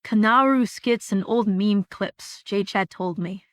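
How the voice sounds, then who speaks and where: A woman's voice: medium pitch, measured pace, no room sound, lightly acted. A woman playing a skeptical, level-headed young streamer in a scripted audio drama, dry and blunt. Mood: exasperated, warning.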